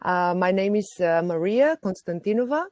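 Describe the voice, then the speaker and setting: A woman's voice: medium pitch, animated, normal speed, a little mellow, no echo, clean studio sound. A woman from Bulgaria speaking on an online conference panel, introducing her finance career with many hesitant fillers.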